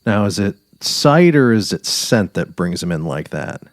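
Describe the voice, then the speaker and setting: A man's voice: lowish voice, very animated, measured pace, long reverb tail. A man playing a weary, sardonic dying writer on safari in a dramatic literary reading. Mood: sardonic, resigned. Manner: sardonic, resigned, quarrelsome.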